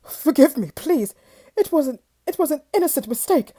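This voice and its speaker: A woman's voice: high voice, lively intonation, moderate pace, dark tone, voice pushed up. A woman playing a terrified pleading father. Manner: stammering, pleading. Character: frightened pleading older merchant man.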